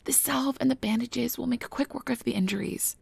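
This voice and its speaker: A woman playing a nervous, earnest, principled field researcher of magical creatures in an ASMR audio drama, soft and hesitant with stammers, close to the mic. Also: on the high side, a little fast, no room sound, full character voice. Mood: hurt, conciliatory. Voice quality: breathy, soft. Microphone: close mic, dry recording.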